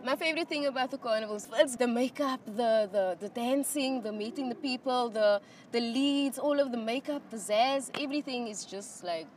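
A woman's voice: fairly high pitch, normal speed, a little hiss or hum. A woman visiting a festival, gushing in a street interview with some hesitations. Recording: distant mic with music.

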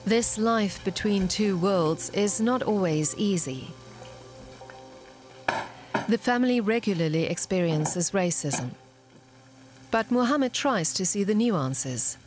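A woman's voice: medium pitch, animated, slow pace, long pauses, a little mellow. Woman narrating a documentary over music. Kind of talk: scripted narration.

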